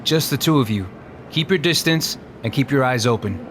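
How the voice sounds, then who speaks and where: A man's voice: medium pitch, expressive, normal speed, a bit bright, slight background noise, close to an everyday voice. A man playing a discreet, authoritative police chief in an audio drama, giving instructions in a guarded way.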